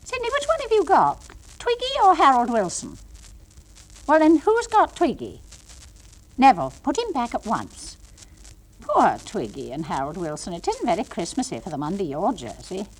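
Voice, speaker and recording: English nursery school teacher for comic monologue. Patient but firm, patronising sing-song coaxing, hugely animated pitch. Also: female voice, normal speed, husky, heavily acted, rough recording. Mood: brisk, firm, then gently scolding. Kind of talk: comic monologue, one side of classroom talk.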